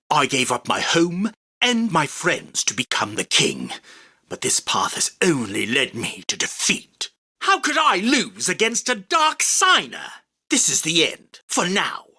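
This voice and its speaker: A man's voice: fairly high pitch, sharp tone, husky, heavily acted. A male voice actor playing an arrogant, grandiose self-proclaimed king of card duelists, with a pompous, commanding, theatrical delivery for a video game. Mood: bitter, defiant. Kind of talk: video game character battle lines.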